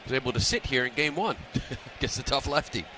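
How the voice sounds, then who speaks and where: A middle-aged man talks baseball casually as a radio analyst. His voice is bright and cutting, with faint stadium ambience behind it. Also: mid-range voice, expressive, brisk, slight background noise. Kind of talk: casual sports commentary. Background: ballpark ambience.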